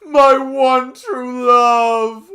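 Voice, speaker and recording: A melodramatic talking cat, lovestruck and wailing , as a man puts him on. The voice shoots up high and drags its cries out slowly and loudly. It is a broad comic turn in a storybook-style reading. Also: fairly even intonation, exaggerated voice.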